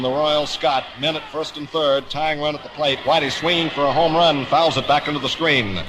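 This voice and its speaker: A man's voice: fairly high pitch, a bit bright, a little hiss or hum. A man calling baseball play-by-play in a dramatic, florid 1950s radio style, on an old broadcast recording. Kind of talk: 1950s radio sports commentary. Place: outdoor ballpark broadcast position.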